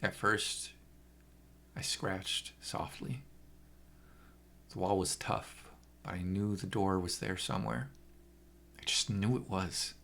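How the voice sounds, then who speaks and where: A man's voice: low-pitched, slow pace, creaky, pauses often, a bit bright. A man narrating a first-person horror story with an intense, theatrical delivery.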